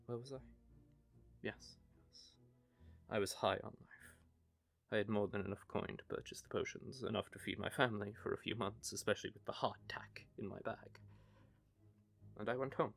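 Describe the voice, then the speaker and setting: A man playing a young knave who tells his story in a wry, rambling way, with dark humour. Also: lowish voice, moderate pace, vocal fry, soft background music. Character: young male knave, wry, haunted by grief. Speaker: confessing rogue. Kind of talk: hobby audio drama monologue.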